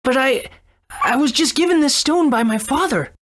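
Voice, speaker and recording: A woman's voice: sits high, animated, moderate pace. An actress voicing an earnest young boy hero in a video game, wide-eyed and eager in manner. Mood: puzzled.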